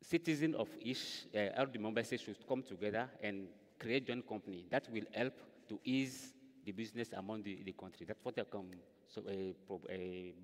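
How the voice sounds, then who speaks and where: A man's voice: fairly low pitch, normal speed, hardly any pauses. A man giving his remarks as a panelist in a large conference hall, hesitant and searching for words. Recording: hall reverb.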